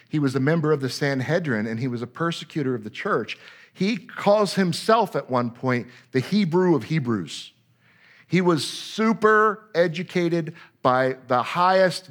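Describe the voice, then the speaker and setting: Male preacher, slightly high-pitched, emphatic explainer of scripture. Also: fairly bright tone. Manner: emphatic, repeats words for stress; reads scripture aloud then explains it. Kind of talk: sermon teaching on scripture.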